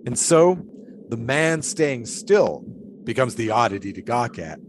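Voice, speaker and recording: A man acts out an eccentric flagpole sitter from the 1920s in an audio drama. He is grandiose and philosophical, declaiming in florid, theatrical phrases with a medium-pitched voice. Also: very animated, a little slow, noisy background. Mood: musing, declamatory. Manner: theatrical, florid, quoting poetry.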